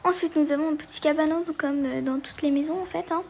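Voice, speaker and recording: Hesitant, chatty woman with a high voice narrating her gameplay; faint noise in the background. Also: steady tone, fast, creaky, a little mellow. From Portugal. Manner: hesitant, many fillers, chatty. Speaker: gaming video creator. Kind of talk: casual game walkthrough commentary.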